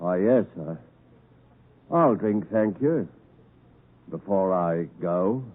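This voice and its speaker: An actor playing a rough old escaped convict in a 1950s radio drama, with rustic diction and halting, emphatic phrasing, by turns menacing and doting. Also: male voice, deep voice, lively intonation, heavily acted. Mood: fond, grateful. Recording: muffled narrowband 1950s radio broadcast recording.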